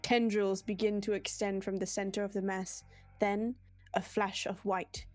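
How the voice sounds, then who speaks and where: A woman's voice: mid-range voice, little pitch movement, a little slow, no echo. A woman streamer giving a giggly, dramatic reading of a story among friends. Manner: giggly, theatrical. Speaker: streamer reading fan fiction aloud. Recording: live group stream with music behind. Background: music and friends laughing.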